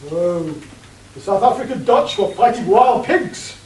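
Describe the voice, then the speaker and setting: A man's voice: sits high, lively intonation, a little mellow. A man playing a dim, bumbling henchman. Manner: slow-witted, confused. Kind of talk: amateur radio play. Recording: roomy, distant, faint hiss.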